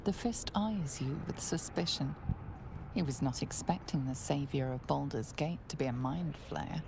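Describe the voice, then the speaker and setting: An actress playing a grave narrator of a dark fantasy game, with a measured, hushed, ominous delivery. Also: female voice, sits low, creaky, background noise. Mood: wary.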